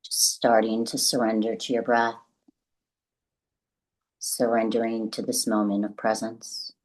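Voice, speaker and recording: A woman leading a guided meditation as the guide, speaking gently and deliberately in a soothing, instructional way with long pauses. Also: a bass voice, level tone, low-quality recording.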